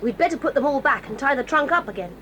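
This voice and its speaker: A brisk tomboy girl. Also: sits high, animated, fairly bright tone, dull audio. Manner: brisk, matter-of-fact. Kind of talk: 1980s audio drama acting. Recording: faint hiss of old cassette.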